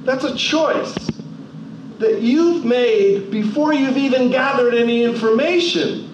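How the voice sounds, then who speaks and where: A man's voice: extremely high pitch, slow. Man speaking in an echoey hall. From New Jersey. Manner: emphatic, rhetorical questions, varies pace for effect. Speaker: motivational speaker. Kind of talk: motivational talk to an audience. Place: large hall. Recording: echoey, distant, dull hall recording with noise.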